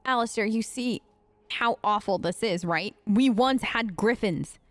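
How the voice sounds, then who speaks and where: A woman hosts a game playthrough, speaking as her character in a relaxed, conversational style. Her voice sits at a middle pitch. The sound is close and dry, with little room around it. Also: unhurried, no echo. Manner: chatty, conversational. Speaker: gaming video host. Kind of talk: casual in-character role-play of game dialogue.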